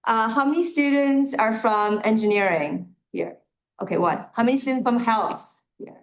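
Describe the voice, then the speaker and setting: A woman with a loud voice giving a casual talk to an audience in a hall and asking the room questions. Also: fairly high pitch, moderate pace. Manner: chatty and upbeat, polls the audience. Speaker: guest speaker, account manager. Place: lecture hall at an in-person event.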